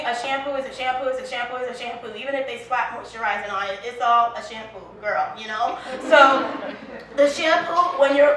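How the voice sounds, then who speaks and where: Woman from Liberia, chatty founder, high voice, far-off echoey room. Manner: chatty, animated, with restarts and quoted asides. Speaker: brand founder. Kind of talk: casual interview chat.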